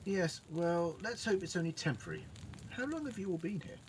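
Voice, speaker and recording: A man's voice: high voice, animated, brisk, a little mellow, poor audio. Guy doing eccentric alien doctor type, polite n wordy, voice swings about, bit of background noise. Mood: concerned. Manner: wordy, precise, politely apologetic. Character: eccentric, polite, long-lived male alien time traveller scientist. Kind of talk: fan audio drama acting.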